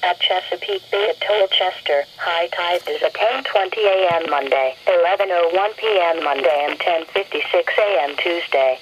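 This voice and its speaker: A woman's voice: fairly low pitch, normal speed, few pauses. A synthetic-sounding woman announcer reading a scripted marine weather forecast on weather radio. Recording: muffled, noisy weather radio broadcast.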